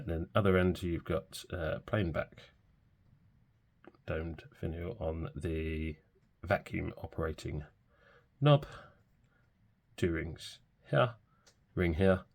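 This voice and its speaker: Deep, gravelly-voiced man with a cold. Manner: relaxed, hesitant, many fillers. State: stuffy from a cold. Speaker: pen reviewer. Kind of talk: casual product review.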